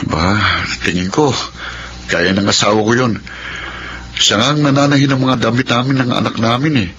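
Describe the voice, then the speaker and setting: A man playing a calm, supportive husband in a radio-style family drama. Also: mid-range voice, moderate pace, highs cut off, a little hiss or hum, lightly acted.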